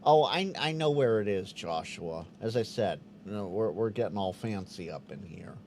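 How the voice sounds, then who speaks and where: Chatty man with lively pitch. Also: moderate pace, a little hiss or hum. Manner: chatty, stammers on restarts, sounds out Morse code. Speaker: host of a live Q&A stream. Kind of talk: casual live-stream chat reading viewer comments.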